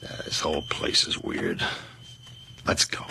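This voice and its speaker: A man's voice: unhurried, close to an everyday voice. A man acting a cynical, middle-aged police detective in a 1980s radio drama, with a North American accent and a dry, dismissive manner. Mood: sardonic, dismissive. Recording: noisy old radio drama recording.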